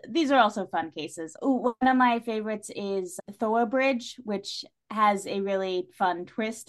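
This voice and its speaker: Enthusiastic woman chatting as podcast guest. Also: mid-range voice. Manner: enthusiastic, wry fan talk with playful asides. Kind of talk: casual podcast chat.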